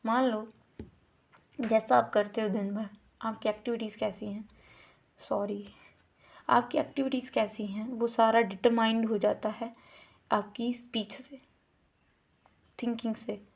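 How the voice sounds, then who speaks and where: A woman with an Indian accent teaches a course unit at an unhurried pace, her voice sitting a little high. She weaves English terms into her explanations and says words over and over to model pronunciation. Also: level tone, gravelly.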